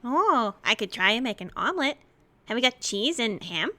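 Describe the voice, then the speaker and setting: A woman's voice: a little high, very animated, normal speed, sharp tone. A woman playing a polite, eager and cheerful young boy in a fantasy role-play. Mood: thoughtful, upbeat.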